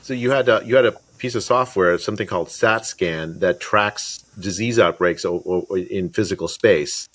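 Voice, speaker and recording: A man in his fifties hosts a podcast over a webcam from his study, speaking with many false starts and "uh"s. His voice sits low, and the sound is dry and close with little room echo. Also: fairly bright tone. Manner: halting, many restarts and fillers. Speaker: podcast host. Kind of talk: video call interview. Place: home study with bookshelves. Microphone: webcam on video call.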